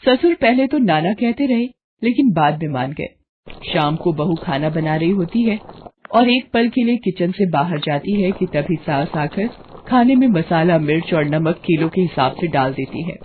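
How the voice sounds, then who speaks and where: A woman's voice: on the low side, animated, moderate pace, a little hiss or hum. A woman narrating a scripted cartoon family story. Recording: dull lo-fi audio.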